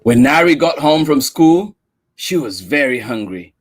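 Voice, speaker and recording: A man's voice: fairly high pitch, measured pace, breathy edge. A man reading a picture book aloud to children, cooing and sighing along with the story and talking to his listeners. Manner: expressive, sighs and coos at the story. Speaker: storytime reader. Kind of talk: children's read-aloud with asides.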